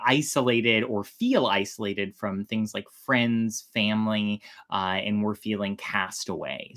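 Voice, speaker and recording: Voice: medium pitch, a bit bright. A storyteller hosting a show, chatting informally to viewers and explaining from notes with loose, hedging phrasing. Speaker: host and storyteller. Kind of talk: casual explanatory chat to viewers, reading from notes.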